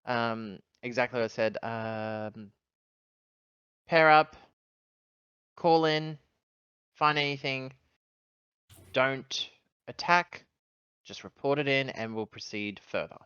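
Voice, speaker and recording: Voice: medium pitch, slow pace, long pauses, a bit bright, smooth, dry recording, muffled recording. A player chatting casually and speaking in character during a tabletop roleplaying game.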